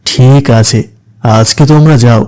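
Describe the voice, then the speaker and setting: Man as kindly male madrasa teacher, smooth clear voice, medium pitch, attentive, cartoon dialogue. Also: measured pace, mellow, close to an everyday voice. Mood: encouraging, inquiring. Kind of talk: children's moral cartoon dialogue.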